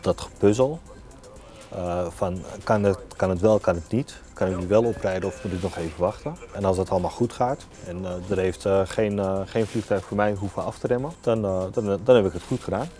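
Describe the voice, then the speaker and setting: Low-pitched man, informal apron controller. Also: brisk, dark tone, faint music behind. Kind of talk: documentary interview about work. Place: glass-walled airport control tower office.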